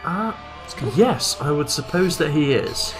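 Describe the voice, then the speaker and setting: A man's voice: fairly high pitch, animated, unhurried, low-quality recording. A man co-hosting with casual, wry comic commentary and mock-serious reactions to a reading. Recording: music clearly behind the voice. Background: background music.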